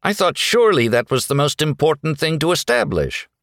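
Man as naive anxious young-hearted fellow, earnest, declamatory, pitched up, very varied intonation, storybook. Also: very animated, fairly quick, gravelly, fairly bright tone, dry recording, studio quality, heavily acted. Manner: earnest, declaring proudly then doubting. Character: naive, anxious, earnest male searching for identity.

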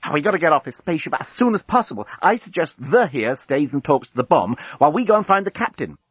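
A man voicing a brisk, indignant young woman lawyer in a comic sci-fi audiobook, exclamatory, firm and urgent. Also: fairly high pitch, sing-song, slightly rough, no echo, muffled recording, voice pushed up. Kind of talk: comic science fiction audiobook.

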